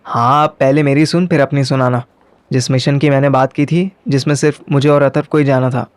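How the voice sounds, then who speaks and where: A man's voice: medium pitch, level tone, brisk, dry recording. A man narrating a first-person story and acting its dialogue in colloquial Hindi mixed with English. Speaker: narrator and lead character of an audio story.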